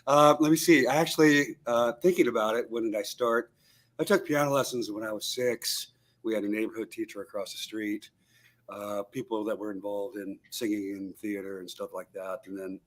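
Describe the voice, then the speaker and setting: A man in his sixties, a guitarist guest in an interview, telling his story in a rambling way with many fillers and restarts over a video call. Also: fairly low pitch. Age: 60s.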